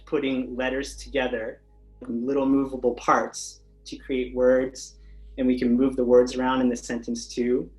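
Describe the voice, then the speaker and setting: A man with a mid-range voice explains his ideas about language as an interview guest. He speaks in a measured, explanatory way, and faint music runs beneath him. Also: mid-range voice, low-quality recording. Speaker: podcast guest, language researcher. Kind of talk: conversational interview.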